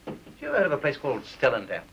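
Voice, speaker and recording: An actor playing a bossy, exasperated public relations boss in a film comedy, brisk and scolding. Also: male voice, mid-range voice, wildly swooping pitch, highs cut off, a little hiss or hum.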